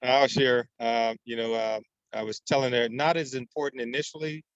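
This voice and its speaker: A man's voice: medium pitch, fairly even intonation, moderate pace, clean tone, no echo, lo-fi sound. An American man sharing his thoughts informally in a group discussion. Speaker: workshop participant.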